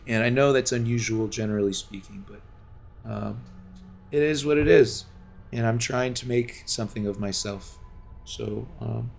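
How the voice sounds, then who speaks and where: A man's voice: mid-range voice, gravelly, slight background noise. A game streamer from Los Angeles talks to his viewers in a long, earnest, rambling monologue full of restarts and fillers, speaking from home.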